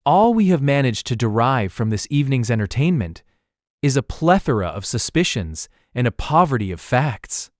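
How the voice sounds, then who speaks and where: Actor as erudite young detective, animated and dry-sounding. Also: male voice, medium pitch, dry recording, natural voice. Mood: analytical, rueful. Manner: pedantic, erudite, deliberate phrasing. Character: Ellery, bookish young male amateur detective, calm, analytical. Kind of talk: full-cast audiobook mystery drama.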